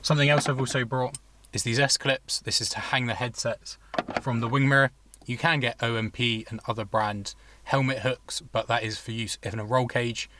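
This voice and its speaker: A man's voice: medium pitch, steady tone, moderate pace, bright, forceful tone, slight background noise. A man giving a casual, explanatory hands-on product review, thinking aloud in an informal way. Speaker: vlogger reviewing and installing car gear.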